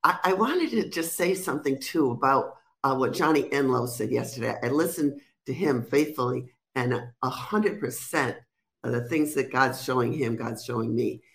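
A woman's voice: a bass voice, moderate pace, gravelly, fairly bright tone. A woman from Rhode Island with a Rhode Island accent, speaking as a guest minister and giving an earnest testimony. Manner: earnest, declarative, repetitive phrasing. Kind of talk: testimony on a call-in interview.

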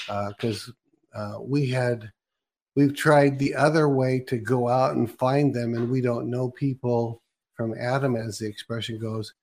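A man hosting a live online broadcast, chatting to viewers in a loose, rambling way. He stumbles, restarts and fills pauses as he goes, at an even middle pitch. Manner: rambling, hesitant with many restarts. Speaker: show host. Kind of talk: casual broadcast talk.

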